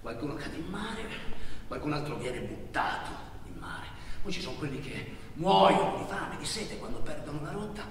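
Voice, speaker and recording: An anguished male traveller, a migrant recounting a hard journey as a stage monologue. The delivery is declamatory and intense, built for the theatre. It is heard from far off in a very reverberant hall, with clear noise in the recording. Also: on the high side, big pitch swings, moderate pace. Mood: grave, urgent. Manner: intense, declamatory, swinging from hushed to shouting. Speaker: stage actor performing a monologue. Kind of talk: dramatic theatrical monologue narration. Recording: echoey hall from far off, noisy.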